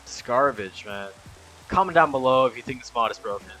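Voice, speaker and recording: An excitable young man chats while gaming, exclaiming loudly when something happens. He is on a headset mic. Faint game music sits underneath his voice. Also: medium pitch, fairly quick, rough recording. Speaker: Let's Play host. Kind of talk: casual gaming chat.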